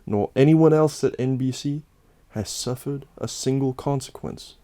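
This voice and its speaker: A man's voice: mid-range voice, a little slow, warm tone, no room sound. A man narrating a scripted, satirical story in a solemn, dramatic way with emphatic phrasing. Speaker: host and narrator of a Halloween special.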